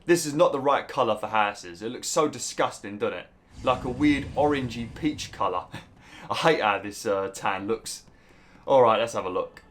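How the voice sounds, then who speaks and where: A young British man with a slightly rough, hoarse throat, chatting casually through gameplay commentary and breaking into mild exclamations. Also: mid-range voice, lively intonation, moderate pace. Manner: chatty, swears mildly, bursts into exclamations at the game. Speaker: let's play host.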